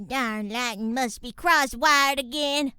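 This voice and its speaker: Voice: high voice, slow, noisy background, pitched above the performer's own voice. A performer voicing a cranky old farm granny pony with a Southern US accent, grumbling and folksy. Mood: weary grumbling.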